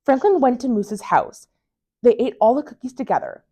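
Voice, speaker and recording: A woman reading a children's picture book aloud as a library storytime reader, with expressive storytelling. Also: fairly high pitch, normal speed.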